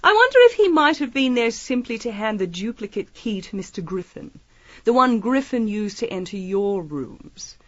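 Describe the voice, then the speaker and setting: A shrewd lady sleuth in a period radio mystery. Her voice sits fairly high and swoops with lively inflection. She is airily polite and disarmingly chatty, recorded close and dry. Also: moderate pace, no echo, muffled recording. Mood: slyly probing. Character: shrewd, breezy female amateur detective, feigning innocence. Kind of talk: audio drama acting, period mystery.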